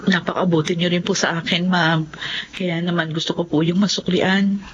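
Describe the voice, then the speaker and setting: A woman's voice: fairly low pitch, flat delivery, normal speed, close to an everyday voice. An actress playing a humble, devoted mother and household helper in an emotional Filipino melodrama, speaking deferentially. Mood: earnest, subdued. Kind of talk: Filipino radio-style melodrama acting. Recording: dull lo-fi audio with clear noise.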